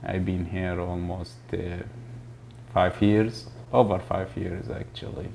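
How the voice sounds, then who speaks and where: A man from Iraq, a grocery store owner speaking haltingly in a documentary interview, often pausing to find his words. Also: sits low, little pitch movement, gravelly, a little mellow, slight background noise.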